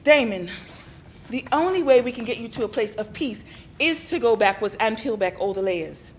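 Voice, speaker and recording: An actress playing a calm, patient therapist who asks measured questions. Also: female voice, a little high, a little hiss or hum, close to an everyday voice. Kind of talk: stage play acting.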